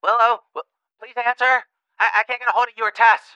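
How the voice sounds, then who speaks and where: Man as panicked dad, high, sharp and clear voice, stammering, urgent, audio drama voicemail. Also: fairly even intonation, quick pace, muffled recording, full character voice. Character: frantic, worried father pleading on voicemail.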